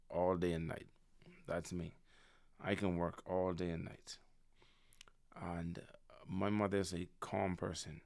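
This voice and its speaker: A man of around thirty, a podcast guest speaking in a relaxed, reflective way on a stand mic in an office room. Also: low voice, vocal fry. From Bahamas. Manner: relaxed, reflective, repeats words as he thinks. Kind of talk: casual conversation. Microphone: studio mic on a stand.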